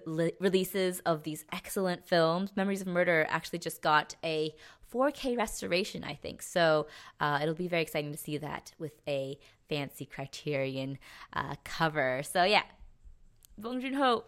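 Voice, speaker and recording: A woman's voice: lowish voice, a bit bright. A young adult woman co-hosting a podcast, chatting casually and enthusiastically. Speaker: podcast co-host. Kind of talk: casual chat.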